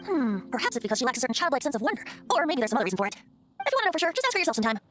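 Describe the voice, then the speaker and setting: A playful, boyish bard from an anime-style game. The voice is high and lilting, with lively swings in pitch and a teasing, theatrical manner. Faint music sits underneath. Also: female voice, very fast.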